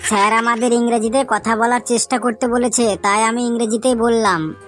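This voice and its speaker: Lady doing a naive little bengali school girl, kinda high, earnest excuses, funny cartoon w faint music. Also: steady tone, moderate pace, close to an everyday voice. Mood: earnest, innocent. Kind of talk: comic cartoon dialogue.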